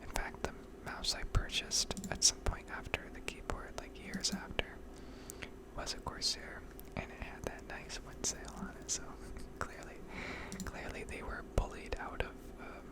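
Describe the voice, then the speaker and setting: A woman whispering a casual, rambling ASMR narration over gameplay, with hesitant pauses. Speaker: video game streamer narrating gameplay.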